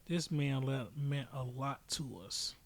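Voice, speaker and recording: A man's voice: medium pitch, fairly even intonation, slow pace. A man giving casual, opinionated podcast commentary as a host, speaking bluntly and emphatically with frequent swearing.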